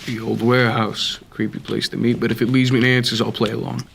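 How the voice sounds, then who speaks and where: A man's voice: medium pitch, flat delivery, fast talker, creaky, fairly bright tone, a little hiss or hum. Young man playing a hard-boiled radio noir detective. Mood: cool, intrigued. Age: young adult. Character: hard-boiled male private detective, tough, dry, determined. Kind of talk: 1940s radio noir acting.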